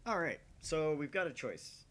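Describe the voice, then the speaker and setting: A man's voice: on the high side, sing-song, normal speed. Casual male game streamer, faint background noise. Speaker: co-host of a game livestream. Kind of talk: casual chat, greeting viewers.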